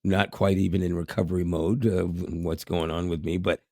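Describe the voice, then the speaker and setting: A hoarse man from Canada, co-hosting a casual podcast in a rambling way, with restarts and chuckles. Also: deep voice, flat delivery, moderate pace, darkish tone, dry recording, studio quality. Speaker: podcast co-host.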